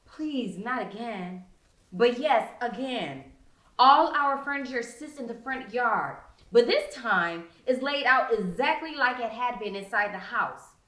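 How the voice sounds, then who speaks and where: A woman with an American accent, an author reading her novel as expressive first-person narration, with dramatic, emphatic phrasing. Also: mid-range voice. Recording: roomy indoor echo.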